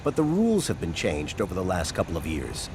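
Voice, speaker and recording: Man narrating with lively, dramatic pitch swings. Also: fairly quick, noisy background. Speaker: documentary narrator. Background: background music.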